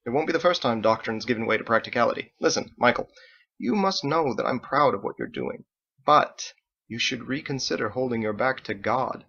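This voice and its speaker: A man's voice: mid-range voice, expressive, fairly quick, gravelly, a bit bright, slightly rough, dull audio, full character voice. A man voicing a charming, fretful old father. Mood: earnest. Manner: effusive, wheedling, exclamatory. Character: charming, fretful elderly father and tavern keeper, pious.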